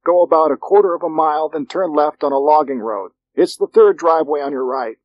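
A folksy American shopkeeper woman performed by a man in an audiobook. The voice is lifted high above the narration, friendly and plain-spoken. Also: a little fast, dry recording, dull audio, natural voice. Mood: helpful. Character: friendly small-town female general store clerk.

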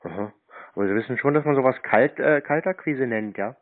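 A man's voice: mid-range voice, a little fast. A man talking on the phone in a terse, dry, challenging manner. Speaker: prank host taking scam calls. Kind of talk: phone conversation. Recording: tinny narrow phone-like sound.

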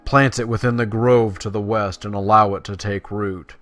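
A man's voice: lowish voice, fairly even intonation, normal speed, fairly bright tone, dry recording. A man hosting a gaming video, casually commentating and reading quest text aloud in a playful way. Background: game music.

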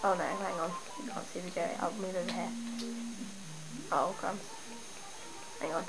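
A young woman with a stuffy cold, chatting and rambling excitedly as she shows off her game town at home. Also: fairly low pitch, normal speed, over loud music. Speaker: gamer showing her town. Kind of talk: casual game walkthrough. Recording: noisy home camera recording. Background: steady background noise.

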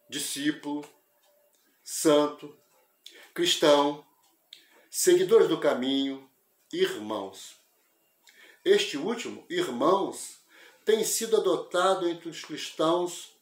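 An older man giving a spiritist lecture over a video call, speaking in a measured, reflective and explanatory way through an earphone mic in a small room at home. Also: on the high side, echoey room. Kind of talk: religious lecture. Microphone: wired earphone mic on a video call.

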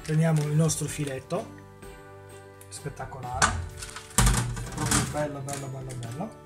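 A man's voice: fairly high pitch, steady tone, a little slow. Man, cooking show host, home kitchen, step-by-step explanation, music in the background. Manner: explains each step, asks and answers his own questions.

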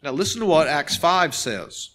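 High-pitched man preaching emphatically. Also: lively intonation, fairly bright tone. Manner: emphatic, earnest preaching with deliberate pauses and repetition. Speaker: pastor. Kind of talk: sermon. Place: nearly empty church sanctuary, behind a pulpit.

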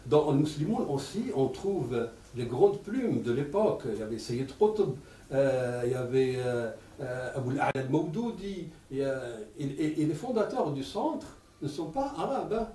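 A man in his sixties with an Arabic accent, giving an animated public talk with many repeated words. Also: mid-range voice, normal speed. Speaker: lecturer. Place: lecture room. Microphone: table stand mic. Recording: echoey room, mic at a distance.

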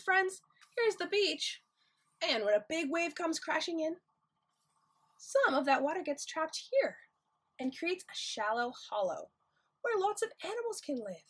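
A woman's voice: extremely high pitch, big pitch swings, a little slow, pauses often. A woman reading a picture book aloud to young children as their teacher, expressively, often putting questions straight to them.

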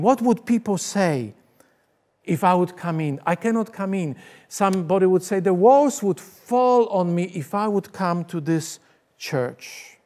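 A middle-aged man with a Polish accent delivers a sermon from a church stage, gesturing as he tells personal stories. His voice sits higher than most men's. He speaks earnestly through a clip-on mic. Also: a little mellow. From Poland. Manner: earnest storytelling, gesturing with both hands. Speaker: pastor and teacher. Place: church stage with curtain backdrop. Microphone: lapel mic.

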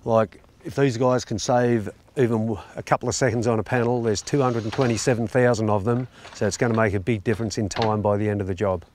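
A man's voice: fairly low pitch, fairly even intonation, fast, hardly any pauses, darkish tone, a little hiss or hum. A man talking casually in an interview as an installation contractor.

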